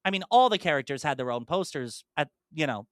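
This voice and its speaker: A podcast host chatting casually in an animated, rambling way, slipping into imagined dialogue. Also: mid-range voice, brisk, no room sound, studio quality. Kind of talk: casual chat, enthusiastic monologue about films.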